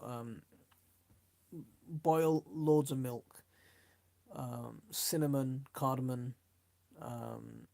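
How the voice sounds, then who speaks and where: Middle aged yorkshire bloke chatting on a livestream, creaky relaxed voice, chummy and rambly. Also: male voice, mid-range voice, no echo. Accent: Yorkshire English. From South Yorkshire, England. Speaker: host of a gardening live stream. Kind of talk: casual live-stream chat, reading viewer comments.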